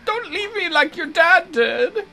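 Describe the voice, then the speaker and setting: Man doing a high-pitched fussy mother. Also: very animated, slow pace, gravelly, sharp tone, faint music behind, exaggerated voice. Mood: needy, wailing. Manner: exaggerated, comic falsetto. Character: fussy, needy middle-aged mother.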